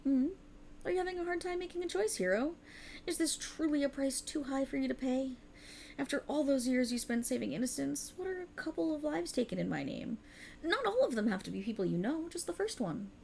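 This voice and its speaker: A woman acts out a cruel, seductive otherworldly goddess in an ASMR roleplay. Her voice is fairly high with a heavy creak. She speaks with haughty, theatrical condescension, taunting her mortal servant over faint hiss. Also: expressive, fairly quick, a little mellow. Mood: coercive bargaining. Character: cruel, haughty otherworldly warlock patron, a seductive dark deity. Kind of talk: ASMR roleplay, scripted dramatic monologue.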